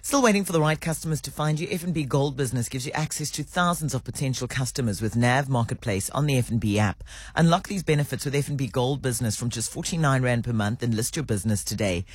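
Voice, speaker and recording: A man's voice: medium pitch, fast talker, slightly rough, dry recording, clean studio sound. A man presenting on breakfast radio, upbeat and inviting listeners to vote. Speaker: radio host.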